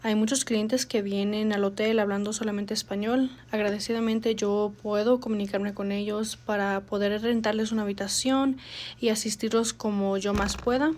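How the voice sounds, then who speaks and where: A young woman giving a prepared personal statement, measured, with frequent pauses and fillers. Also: mid-range voice, steady tone, darkish tone, a little hiss or hum. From United States. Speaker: student speaker.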